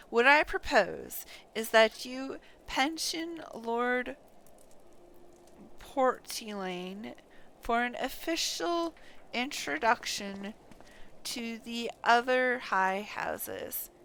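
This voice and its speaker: A woman livestreaming a game playthrough, reading dialogue aloud in a theatrical, deliberate way with muttered asides. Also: fairly high pitch, animated, gravelly, fairly bright tone, slight background noise, poor audio. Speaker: game streamer.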